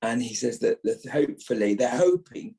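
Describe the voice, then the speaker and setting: A man's voice: medium pitch, lively intonation, moderate pace, gravelly, dark tone. A middle-aged or older British man, an actor being interviewed, talking reflectively and repeating phrases as he thinks.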